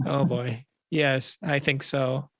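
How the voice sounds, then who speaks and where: A man's voice: medium pitch, little pitch movement, slow, a bit bright, dry recording. A man casually explaining his work on a live panel in an informal, self-deprecating way. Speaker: panelist, orchestrator. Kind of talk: casual explanation on a video call.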